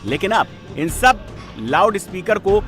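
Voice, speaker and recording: High-pitched, animated male news narrator. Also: measured pace, faint music behind. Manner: punchy, emphatic, repetitive phrasing. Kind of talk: dramatic scripted TV news narration.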